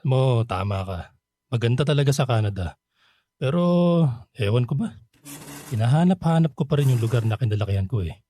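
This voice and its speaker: A man's voice: medium pitch, lively intonation, fairly quick, warm tone, no room sound, natural voice. A Filipino man playing a nostalgic, bashful middle-aged bachelor back home from abroad in a radio drama. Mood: friendly, nostalgic. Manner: easygoing, chuckling, sheepish.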